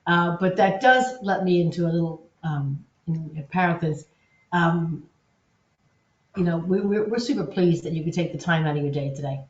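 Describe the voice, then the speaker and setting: A woman's voice: lowish voice, moderate pace, muffled recording. Upbeat woman on echoey webinar audio. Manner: upbeat, enthusiastic, loose and conversational. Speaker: webinar presenter, agency content officer. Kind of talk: live webinar presentation.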